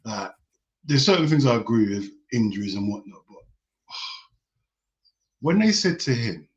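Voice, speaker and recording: A man joining a live stream as a caller, chatting casually in an excitable, slangy way. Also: medium pitch, moderate pace. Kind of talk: casual chat. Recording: dull lo-fi call audio.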